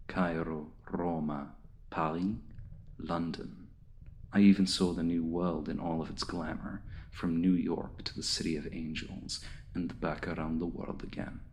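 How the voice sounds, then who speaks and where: A man playing an ancient, seductive and menacing vampire lord in an ASMR roleplay, speaking in a deliberate, suave, condescending and hypnotic manner, often sinking to a hushed murmur. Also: deep voice, a little hiss or hum. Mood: proud, menacing. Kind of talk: ASMR roleplay, intimate dramatic monologue.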